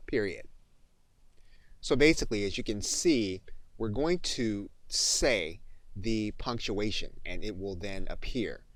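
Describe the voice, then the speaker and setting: A man narrating an instructional software tutorial with methodical, deliberate phrasing. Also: fairly low pitch, no room sound. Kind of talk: tutorial narration with spoken dictation demonstration.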